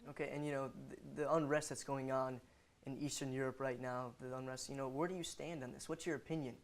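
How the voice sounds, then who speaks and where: A man's voice: mid-range voice, fairly even intonation, a little fast. A man anchoring a student news show, reading scripted lines and asking loose interview questions padded with "you know".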